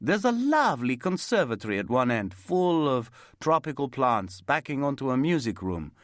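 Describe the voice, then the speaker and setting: A man's voice: medium pitch, very animated, normal speed, no room sound, clean studio sound, voice pushed up, natural voice. A man voicing an older Italian window cleaner, tired and worn down, with an Italian accent. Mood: weary.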